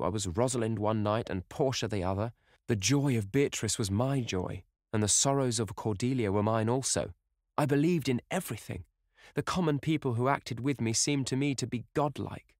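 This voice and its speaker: A man's voice: medium pitch, darkish tone, no echo, clean studio sound, pitched above the performer's own voice, close to an everyday voice. A man reading aloud, voicing a young actress swept up in love, in an ecstatic, pleading, theatrical way.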